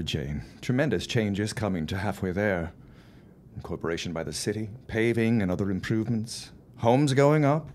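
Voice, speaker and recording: An actor playing a genial, optimistic husband and small-town booster in a period audio drama, speaking in a declamatory way. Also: male voice, on the low side, darkish tone, slight background noise, lightly acted.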